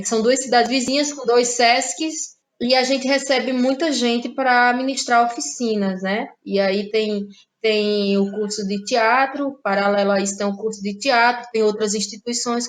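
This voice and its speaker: A woman with a northeastern Brazilian accent from Ceará, speaking reflectively as an interview guest and often checking for agreement with small tag questions. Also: on the high side. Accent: Cearense northeastern Brazilian. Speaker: interview guest, playwright and actress. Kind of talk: conversational interview.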